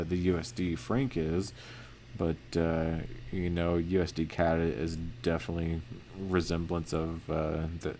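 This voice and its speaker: Male trading educator, deep voice, flat and casual delivery, light noise behind. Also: vocal fry. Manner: monotone, many fillers and restarts. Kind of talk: casual screen-share chart analysis.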